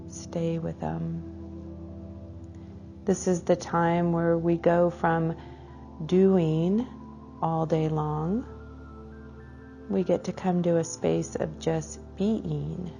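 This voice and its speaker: A woman leading a guided meditation for a class in a soothing, unhurried way, drawing out phrases and letting the endings trail off softly. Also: lowish voice, vocal fry.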